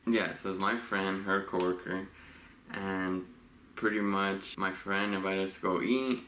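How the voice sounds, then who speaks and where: A man in his late twenties from Los Angeles, co-hosting a couple's Q&A vlog in a relaxed, rambling way with frequent laughs. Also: low voice, unhurried. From Los Angeles, USA. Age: 20s. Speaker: vlogger co-host. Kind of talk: casual couple Q&A chat. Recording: echoey room with faint hiss.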